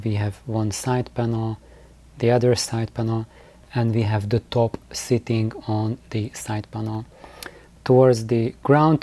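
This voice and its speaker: A man giving an informal, unscripted explanation as a video host, halting often to repeat words and restart. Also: medium pitch, mellow, dry recording. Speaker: host of DIY loudspeaker building videos.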